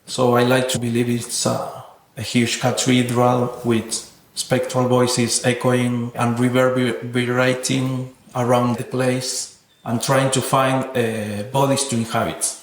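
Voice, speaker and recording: A man's voice: medium pitch, monotone, slow pace, a little hiss or hum. Thoughtful man in an echoing room. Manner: thoughtful, earnest, philosophical. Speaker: interviewed guest, sound artist. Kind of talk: reflective interview answers. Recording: roomy echoing sound.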